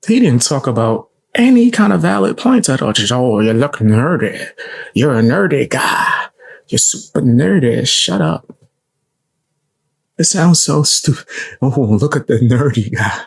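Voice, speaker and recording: A young man reacting on a live stream in casual, blunt, mocking talk, with a low voice and frequent swearing. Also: lively intonation, measured pace. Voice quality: low gravelly voice.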